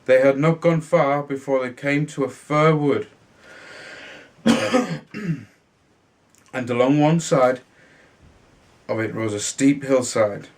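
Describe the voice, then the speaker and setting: A man reading a children's fairy tale aloud in a halting way, sometimes stumbling and repeating words. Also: medium pitch. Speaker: storybook reader.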